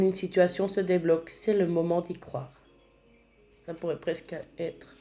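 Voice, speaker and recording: A woman giving a spiritual oracle card reading, speaking slowly and gently, slightly congested from a cold. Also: lowish voice. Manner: slow, gentle, reads card texts aloud.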